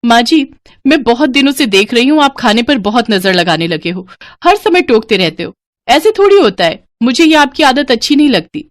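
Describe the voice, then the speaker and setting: A woman's voice: a little high, sing-song, normal speed, voice pushed up. A woman voicing a spoiled, wasteful young wife who answers back dismissively. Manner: dismissive, raised voice.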